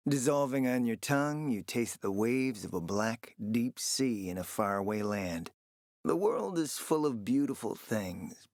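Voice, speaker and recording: A man's voice: medium pitch, no echo, clean studio sound. An actor playing a smug, flirtatious, decadent gourmand fixer in a video game, speaking in a pompous, theatrical, condescending way and savoring his words. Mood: sensual, savoring. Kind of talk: video game character acting.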